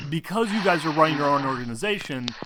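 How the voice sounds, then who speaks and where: A man's voice: fairly high pitch, expressive, fairly quick, background noise. A man acting as game master, explaining rules casually and teasing his players with sarcasm.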